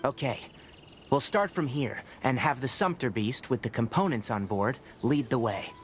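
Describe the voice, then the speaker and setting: An actor playing a calm, scholarly young forest ranger in a video game, measured and patient as he explains. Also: medium pitch, music in the background, close to an everyday voice. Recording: game audio with clear ambience.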